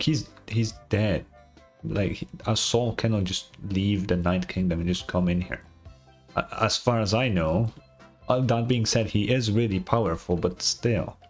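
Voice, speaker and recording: A man's voice: fairly low pitch, measured pace, faint music behind. A man streaming a game with casual live reaction commentary, blunt and incredulous.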